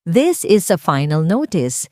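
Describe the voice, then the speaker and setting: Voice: mid-range voice, very animated, unhurried, mellow, dry recording, studio quality. A woman voicing a bank caller on the phone who speaks in a cold, formal, emotionless way.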